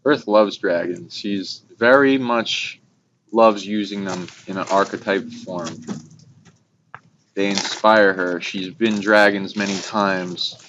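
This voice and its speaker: Meandering low-voiced man, lo-fi noisy sound. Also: takes it slowly, fairly bright tone. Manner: meandering, enthusiastic, informal. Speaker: talk show co-host. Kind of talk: casual spiritual discussion. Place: informal recording by a fire. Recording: muffled lo-fi, clear background noise.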